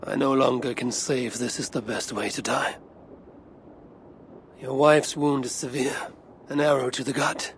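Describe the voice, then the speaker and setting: An actor playing a battle-hardened, honourable Viking warrior in a game cutscene, measured and solemn, with a gravelly voice. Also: male voice, mid-range voice, fairly even intonation, a little hiss or hum, natural voice. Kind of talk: dramatic game cutscene acting.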